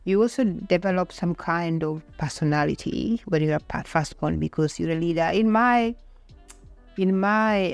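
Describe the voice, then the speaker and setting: A woman's voice: fairly low pitch, creaky, no echo. A middle-aged woman with a Kenyan accent talking about her own life in a conversational interview. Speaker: podcast guest.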